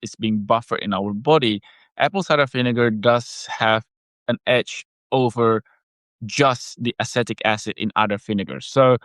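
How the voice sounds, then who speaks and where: A man whose first language is Indonesian, co-hosting a casual podcast chat and explaining things in a measured way with frequent pauses and fillers. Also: medium pitch, fairly bright tone, no room sound, clean studio sound. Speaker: health product maker and podcast co-host.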